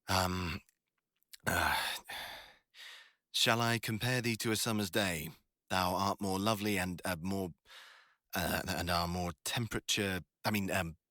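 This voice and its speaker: A man's voice: deep voice, bright, forceful tone, no room sound, studio quality, heavily acted. A young man playing a teasing, foul-mouthed college student crush in a casual boyfriend roleplay ASMR. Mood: reluctant, halting. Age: young adult. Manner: casual, slangy, teasing, sweary.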